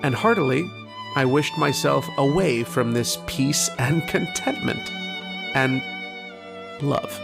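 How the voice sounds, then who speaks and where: An actor playing a tormented, homesick man trapped in a dream, brooding and halting in an old-time radio horror drama. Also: mid-range voice, animated, vocal fry, over music. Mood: wistful, homesick. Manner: brooding, halting, poetic delivery.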